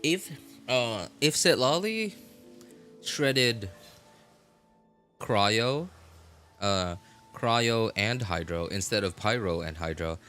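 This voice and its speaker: A man chatting casually on a game livestream, often halting and rephrasing as he answers viewers. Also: on the low side, animated, pushed and bright. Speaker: live streamer. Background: music.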